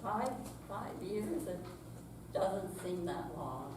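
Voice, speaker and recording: An older woman playing a stubborn, feisty elderly widowed mother in a staged reading, with halting, emphatic theatrical delivery. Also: mid-range voice, lively intonation. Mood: stubborn, pleading. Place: senior center room, staged live reading. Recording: very echoey hall, distant mic, hissy noise.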